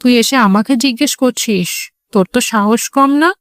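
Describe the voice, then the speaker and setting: A woman's voice: on the high side, brisk, no echo, clean studio sound, natural voice. A woman voicing a haughty, quarrelsome neighbour aunt in a children's cartoon, scornful and indignant.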